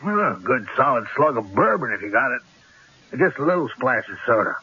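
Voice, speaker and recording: An actor playing a cocky, menacing gangster in a radio drama, with tough, slangy street talk and a rough edge. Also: male voice, mid-range voice, very animated, fairly quick, bright, forceful tone, exaggerated voice. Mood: smug menace. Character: cocky, menacing gangster killer holding a couple at gunpoint. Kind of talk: 1970s radio drama acting. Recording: dull, lo-fi old radio broadcast.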